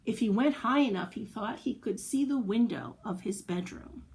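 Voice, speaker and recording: Lady reading a kids picture book, highish voice, expressive n playful, chats to the little listeners. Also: normal speed. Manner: playful asides and questions to young listeners; expressive, with sound effects and exclamations. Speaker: children's storyteller. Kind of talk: animated picture-book read-aloud for young children.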